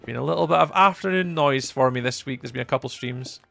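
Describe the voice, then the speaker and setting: A young Scottish man from the east coast, live-streaming and chatting to viewers in a teasing, up-and-down way, from loud bursts to low mutters. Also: fast talker, gravelly, soft background music. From east coast of Scotland. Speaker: livestreamer.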